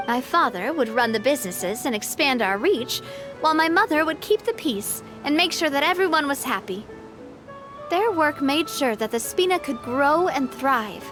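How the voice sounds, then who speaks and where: A high, youthful voice as an earnest young woman who leads with brave composure and a wistful streak. Her game dialogue is expressive and measured, with music playing softly behind it. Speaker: video game voice actor.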